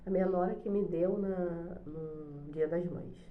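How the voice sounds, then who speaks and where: A middle-aged woman with a cold, hoarse, chatting softly and hesitantly on her home vlog with many fillers. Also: lowish voice, slow, roomy sound, narrow, tinny audio, slight background noise. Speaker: channel host, special education teacher. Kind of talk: casual devotional vlog chat. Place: home, morning coffee.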